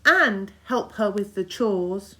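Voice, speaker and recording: A woman's voice: medium pitch, animated, slow, bright, forceful tone, pitched above the performer's own voice. A woman reading a children's storybook, voicing an eager, mischievous little girl with a chirpy manner. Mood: earnest.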